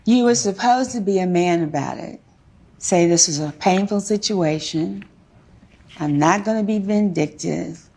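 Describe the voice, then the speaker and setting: A woman's voice: mid-range voice, a little slow, dark tone. A woman speaking as a television courtroom judge, questioning and ruling in a stern, emphatic, lecturing way.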